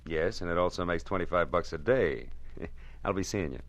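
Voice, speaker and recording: Very deep-voiced hard-boiled American private eye. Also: male voice, expressive, normal speed. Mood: wry. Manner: wry, clipped, tough-talking. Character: hard-boiled, wisecracking private detective, world-weary but dogged. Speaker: lead actor and first-person narrator. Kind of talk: 1940s radio detective drama acting.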